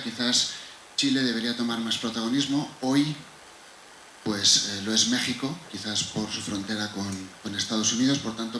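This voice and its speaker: A man's voice: medium pitch, sharp tone. A man from Spain with a Spanish accent, answering a question on a conference panel in a conversational, discursive way. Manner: conversational, discursive, with rhetorical asides. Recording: echoey room with faint noise.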